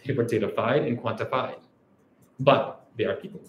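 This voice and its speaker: A man's voice: medium pitch, moderate pace, a little hiss or hum. Reflective male academic in an echoey room. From Canada. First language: Urdu. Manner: reflective, often ends points with "right?". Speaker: academic researcher. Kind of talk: scholarly talk.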